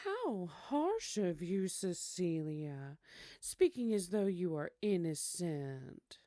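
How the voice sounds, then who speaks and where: A woman's voice: lowish voice, big pitch swings, slow pace, warm tone, dry recording, studio quality. A woman voicing a flirtatious, teasing vampire in an audio roleplay, coy and seductive with mock-polite formality. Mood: playful, coy. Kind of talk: scripted ASMR audio roleplay acting.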